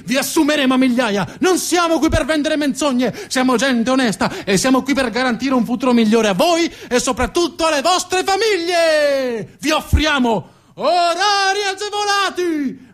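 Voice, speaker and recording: A man playing a pompous factory director giving a declamatory, shouted rally speech to workers in a theatre piece. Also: very high voice, voice pushed up, full character voice. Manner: declamatory, drawn-out emphatic phrases, shouting.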